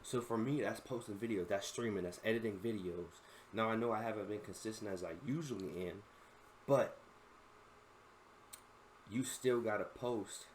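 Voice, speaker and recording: A man's voice: lowish voice, normal speed, long pauses, slight background noise, rough recording. Slangy young man saying bro a lot. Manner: slangy, says "bro" constantly, rambling and earnest. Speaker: content creator reacting to a video. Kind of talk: casual reaction commentary.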